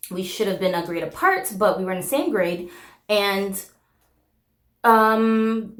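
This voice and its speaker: A woman's voice: mid-range voice, roomy sound. A woman in her thirties whose first language is Korean, hosting her channel in a candid, chatty way with frequent fillers. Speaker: channel host. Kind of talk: casual chat to camera.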